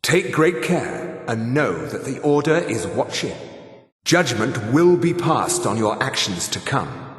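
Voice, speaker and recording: A haughty male high elf mage for a fantasy video game. His mid-pitched voice moves expressively up and down, with a husky edge and formal, precise diction. The recording is close and dry. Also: very animated, takes it slowly, a bit bright, dry recording, heavily acted. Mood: solemn, stern. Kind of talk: scripted video game character lines.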